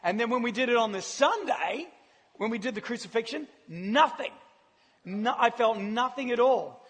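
Guest preacher, man, husky and croaky, casual and joking, live in a big reverberant hall. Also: very high-pitched, animated, normal speed, fairly bright tone. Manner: chatty, self-deprecating, laughs often. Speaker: guest speaker. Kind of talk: casual, joking church talk. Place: large church hall.

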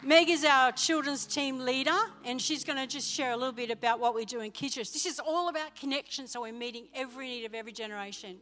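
A woman's voice: high voice, quick pace, hardly any pauses, pushed and bright. A woman pastor giving enthusiastic church announcements from the pulpit, emphatic and calling out to the congregation. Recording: reverberant church hall with music behind.

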